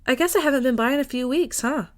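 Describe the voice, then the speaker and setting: A woman's voice: high-pitched, fast, voice pushed up, natural voice. A woman voicing a friendly, easygoing man in an audiobook, with a casual, teasing manner. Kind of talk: acted fiction reading.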